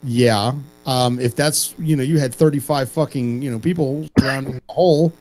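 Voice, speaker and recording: Joking, profane man with a mellow voice. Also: medium pitch, normal speed, poor audio. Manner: animated, profane, streetwise ribbing. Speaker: co-host. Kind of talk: casual, joking commentary.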